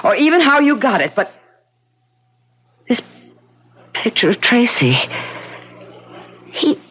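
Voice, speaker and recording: A woman's voice: fairly high pitch, big pitch swings, takes it slowly, a bit bright, breathy edge, heavily acted. An actress playing an imperious, icy wealthy woman in a 1970s radio drama, haughty, clipped and commanding. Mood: demanding. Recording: muffled vintage radio broadcast.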